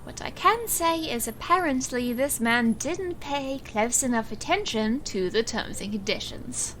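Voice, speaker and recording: A woman playing a calm, secretive, dryly protective woman in charge in an audio drama. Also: high voice, a little hiss or hum. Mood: measured, serious. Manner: measured, composed.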